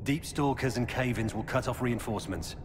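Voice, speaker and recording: An actor playing a grim former Templar turned Qunari informant in a video game, speaking in a grave, measured, earnest way. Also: male voice, medium pitch, lightly acted. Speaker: game character. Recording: game audio with long reverb and ambient noise.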